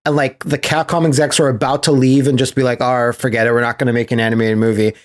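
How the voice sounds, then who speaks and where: A man hosting a podcast in casual chat, telling stories in an animated, sweary way with laughter mixed in. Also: mid-range voice, fast. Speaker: podcast host.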